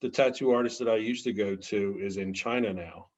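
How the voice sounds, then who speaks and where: Halting low-voiced man on a dull line. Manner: halting, many ums, trailing off quietly. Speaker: podcast co-host. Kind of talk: casual chat.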